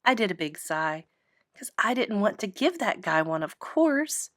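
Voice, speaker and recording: Casting: female read-aloud storyteller for children's books, expressive first-person child narration, very lively intonation, dry close sound. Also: medium pitch, a little slow, dry recording.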